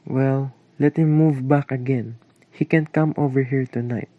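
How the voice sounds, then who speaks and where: A man's voice: mid-range voice, steady tone, measured pace, no echo, phone-quality sound, close to an everyday voice. A man acting a stern, irritable father in an amateur radio play, speaking in a curt, commanding way. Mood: stern, irritated.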